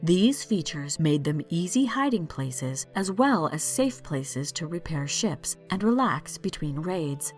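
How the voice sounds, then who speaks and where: A woman host reading a scripted solo podcast episode in a calm, measured way. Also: sits low, soft background music. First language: not French.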